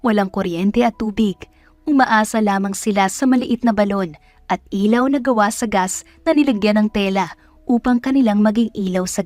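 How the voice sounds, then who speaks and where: A woman's voice: medium pitch, darkish tone, dry recording. A woman with a Filipino accent reading scripted story narration as a storyteller.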